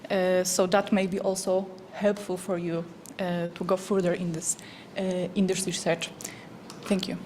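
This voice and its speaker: A woman whose first language is Polish, asking a formal question at an academic workshop, pausing carefully with fillers. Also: fairly low pitch, level tone, darkish tone, slight background noise. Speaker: workshop participant.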